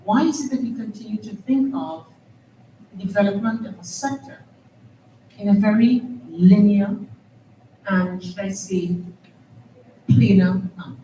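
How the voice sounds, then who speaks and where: A woman with a Trinidadian accent moderates a conference panel, posing earnest rhetorical questions. Her voice is mellow and dark, picked up from a distance in a roomy hall. A faint hum sits behind her. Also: medium pitch, a little slow, long pauses. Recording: echoey hall, distant mic, faint noise.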